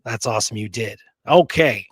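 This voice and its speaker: Male history host, mid-pitched, conversational and emphatic, casual research chat in a dry recording. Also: moderate pace, husky, no room sound.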